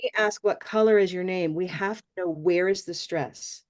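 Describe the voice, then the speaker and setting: A woman's voice: lowish voice, moderate pace. A woman teaching English pronunciation in an online class, encouraging and laughing often.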